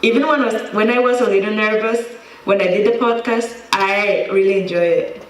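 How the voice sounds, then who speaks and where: A woman's voice: mid-range voice, normal speed, a little hiss or hum. Young Costa Rican woman in echoey room. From Costa Rica. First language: Spanish. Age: young adult. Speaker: student presenter. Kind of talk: prepared personal presentation. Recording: echoey room, slightly distant mic.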